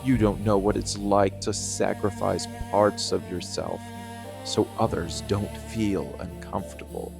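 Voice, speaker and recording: A man playing a cold, embittered dark-fantasy villain in an ASMR monologue, speaking deliberately with heavy pauses. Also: on the low side, flat delivery, gravelly, over music. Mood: accusing, cold.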